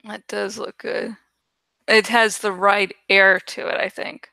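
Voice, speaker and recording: A woman casually rambling as she edits artwork for her channel, thinking out loud, self-deprecating and swearing freely. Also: mid-range voice, flat delivery, slow pace, creaky, dry recording. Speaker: video creator and host. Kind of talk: casual rambling commentary while editing artwork.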